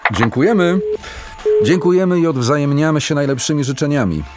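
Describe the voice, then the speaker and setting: An actor playing a polite, earnest radio phone-in host in a Christmas play, speaking formally and measuredly. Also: male voice, fairly high pitch, expressive, a little fast, loud music behind the voice, close to an everyday voice.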